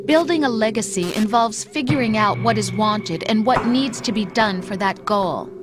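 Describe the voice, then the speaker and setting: An actress playing a calm, coldly persuasive female machine intelligence in a video game, speaking softly with a mocking edge. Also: medium pitch, moderate pace, lightly acted. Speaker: game character. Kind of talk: video game cutscene voice acting. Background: dramatic music score.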